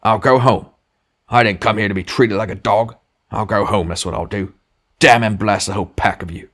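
A man's voice: lowish voice, fairly quick, voice pushed up, natural voice. A man voicing a big, sulky working-class male ghost in an audiobook, self-righteous and argumentative, full of blustering grievance. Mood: bitter, defiant. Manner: blustering, argumentative, punctuating with demands. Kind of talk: literary audiobook character dialogue.

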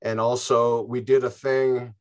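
A man's voice: mid-range voice, flat delivery, a little slow. Chatty older man rambling about music. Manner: rambling, chatty, frequent restarts. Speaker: interview guest, musician. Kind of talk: casual interview chat.

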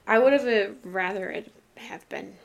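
A woman's voice: mid-range voice, moderate pace, creaky. A woman co-hosting a podcast in casual banter, tossing in wry, sweary remarks. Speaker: true crime podcast co-host.

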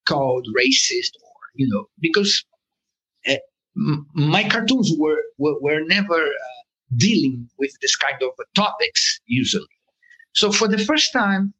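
Male cartoonist interviewee, hesitant, husky and bright. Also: fairly high pitch, rough recording. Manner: hesitant, many fillers and restarts. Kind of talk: conversational interview answer.

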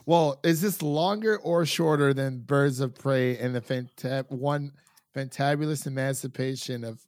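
A man's voice: medium pitch, unhurried, a little mellow, no echo, studio quality. A man hosting a film review podcast, chatting in an informal, enthusiastic, rambling way. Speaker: podcast host. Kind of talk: casual film review chat.

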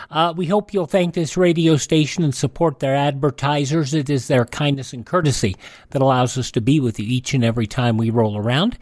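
A man's voice: a little high, darkish tone, no room sound. Male radio host, dry sound. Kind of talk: scripted host talk with informal asides.

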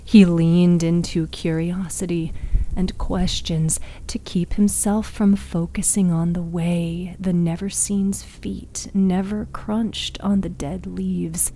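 A woman narrating a dark story in a hushed, breathy, suspenseful, dramatic manner. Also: fairly low pitch, flat delivery, poor audio. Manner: slow, hushed, suspenseful delivery. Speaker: narrator and author of an audio fiction podcast.

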